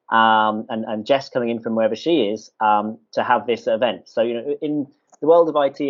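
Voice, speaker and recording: A man hosting an online college event, talking hesitantly with many pauses. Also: mid-range voice. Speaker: college host. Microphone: video call. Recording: muffled video call audio.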